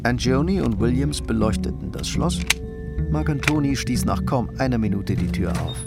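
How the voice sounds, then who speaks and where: A man narrating a radio crime drama from a script in a sober, measured way. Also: medium pitch, animated, vocal fry, over loud music.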